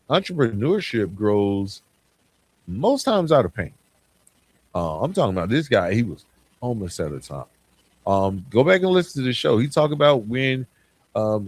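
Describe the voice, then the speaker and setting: A man hosting a podcast alone in a reflective monologue, stressing points by repeating phrases. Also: on the low side. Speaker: podcast host. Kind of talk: solo podcast monologue, reflective year-end recap.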